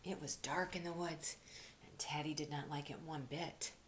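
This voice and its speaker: A woman reading aloud to children like a teacher, expressive, often dropping into breathy, hushed storytelling. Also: deep voice, moderate pace. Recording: faint background noise.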